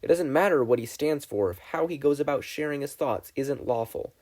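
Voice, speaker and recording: A man's voice: fairly high pitch, fairly quick, darkish tone, pitched above the performer's own voice, close to an everyday voice. A man voicing a blunt, stoic teenage boy student in a story reading, formal and firm. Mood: firm, disapproving.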